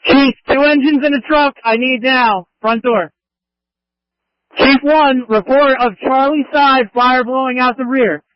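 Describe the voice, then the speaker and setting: A man's voice: very high voice, fairly even intonation, unhurried, no room sound. A man speaking as a fire chief over a two-way radio, giving urgent, clipped orders from a fire scene. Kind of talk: urgent fireground radio traffic. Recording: muffled lo-fi emergency scanner radio.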